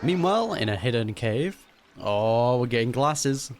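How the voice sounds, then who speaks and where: A man's voice: medium pitch, lively intonation, unhurried, over music. A man giving casual, slangy, joking commentary while reacting to a video game. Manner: joking, slangy, laughs easily.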